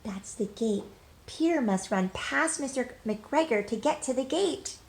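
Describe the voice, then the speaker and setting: A woman's voice: on the high side, animated, unhurried, creaky, a little hiss or hum. A woman teacher reading a storybook aloud to children, speaking to them directly and expressively, with emphasis on key words. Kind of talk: children's storybook read-aloud with questions to young listeners.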